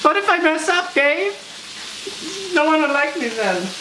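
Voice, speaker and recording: A teenage boy putting on a fretful, self-pitying home cook who whines to his cameraman. The voice is high and swoops widely, and he talks slowly. It is recorded on a camera in a roomy kitchen with a pan sizzling loudly behind. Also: sing-song, noisy background, exaggerated voice. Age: teens. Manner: melodramatic, whiny, fretful asides to the cameraman. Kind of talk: comic cooking show skit. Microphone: camera mic from a handheld camera. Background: food sizzling in a pan.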